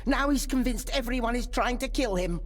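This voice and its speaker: Haughty, husky aristocratic matriarch played by a woman. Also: fairly high pitch, fairly quick, natural voice. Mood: cold, accusing. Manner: imperious, cutting. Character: haughty, bitter, defensive aristocratic family matriarch. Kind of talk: dramatic video game acting.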